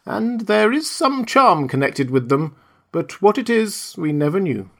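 A man voicing a small male munchkin villager in a storybook reading, speaking in a slow, wondering way. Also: sits high, big pitch swings, vocal fry, a bit bright, voice pushed up, exaggerated voice.

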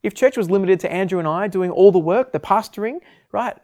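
A man's voice: high-pitched, a little fast, a little mellow. A man who pastors a church, addressing his congregation and thanking them earnestly.

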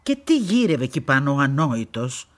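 A woman's voice: a bass voice, very animated. An actress playing a stern, imperious upper-class woman estate owner in a radio play, curt and haughty, with formal old-fashioned phrasing. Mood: annoyed.

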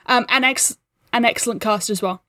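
Higher-voiced woman, enthusiastic podcast guest. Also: moderate pace, pushed and bright, no room sound, studio quality. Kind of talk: casual film discussion.

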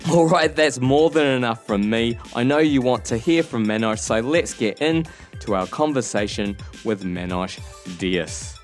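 A man hosting a podcast, reading a measured introduction of his guest. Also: medium pitch, faint music behind. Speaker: podcast host.

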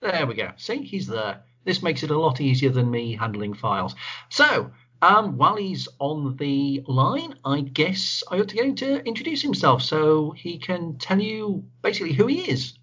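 A man hosts a podcast in an easygoing, informal manner. His voice sits a little high and sounds husky and breathy. The recording is dull and lo-fi. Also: normal speed. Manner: relaxed, informal, British slang. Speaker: podcast host. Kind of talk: casual chat over a video call. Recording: dull, lo-fi call audio.

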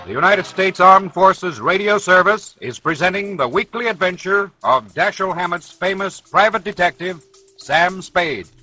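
A man's voice: high voice, expressive, normal speed, pushed and bright, soft background music, rough recording. A man announcing a 1940s radio program in a formal, projected style. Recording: old 1940s radio recording.

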